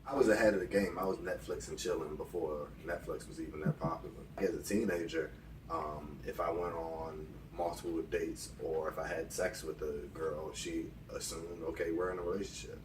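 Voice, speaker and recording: A man's voice: low voice, steady tone, normal speed. An American man in his late twenties telling personal stories in a casual, candid, colloquial way as an interview guest. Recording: echoey room, distant mic, background noise.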